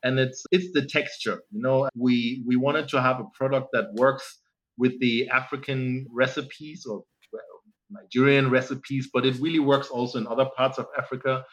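A man's voice: medium pitch, moderate pace. Man in his forties, lo-fi. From Germany. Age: 40s. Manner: relaxed, rambling, many "you know" fillers. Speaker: founder, guest. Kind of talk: casual interview chat.

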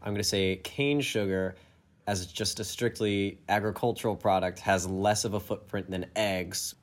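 A man's voice: on the low side, steady tone, moderate pace. A man co-hosting a podcast, explaining a topic in a semi-scripted, conversational way. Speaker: podcast co-host.

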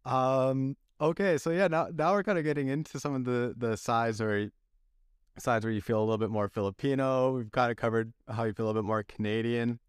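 A man's voice: medium pitch, fairly even intonation, brisk, clear, resonant tone, no room sound, studio quality. A young man hosting a casual podcast interview, making his points earnestly and rambling with restarts. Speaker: podcast host.

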